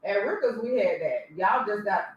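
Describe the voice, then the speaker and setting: A woman's voice: mid-range voice, animated, moderate pace, muffled recording. Woman host in an echoey room. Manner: emphatic, teasing. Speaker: podcast host. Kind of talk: casual group chat. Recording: echoey room, distant mic, faint noise.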